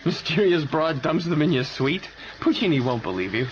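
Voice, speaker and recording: A man's voice: a little high, very animated, normal speed. An actor playing a streetwise tough guy with an American accent, clipped, slangy and matter-of-fact, in a radio drama. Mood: grave warning. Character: terse, streetwise tough guy warning of gangsters. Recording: muffled radio recording with clear background noise.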